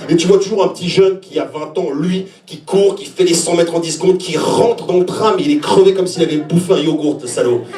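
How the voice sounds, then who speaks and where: Animated high-pitched man doing stand-up, echoey room. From Switzerland. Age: 40s. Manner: animated, exclamatory, acts out little scenes and reactions; talks directly to the audience. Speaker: stand-up comedian. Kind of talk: stand-up comedy on stage. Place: small comedy venue open stage, indoors. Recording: echoey live room, distant mic.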